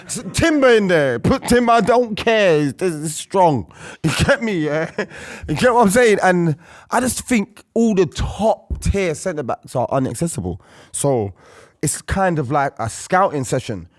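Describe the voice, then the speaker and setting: Man, London accent, slangy, emphatic, lively swooping pitch, football panel banter. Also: measured pace.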